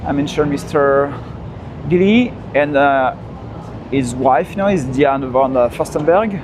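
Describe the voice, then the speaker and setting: A man's voice: medium pitch, measured pace. A man with a French accent hosting a live walking tour, chatting as he strolls the city and often repeating himself with "you know" asides. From France. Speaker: walking tour livestream host. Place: outdoors on busy city streets. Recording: loud street traffic behind the voice. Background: city traffic and street noise.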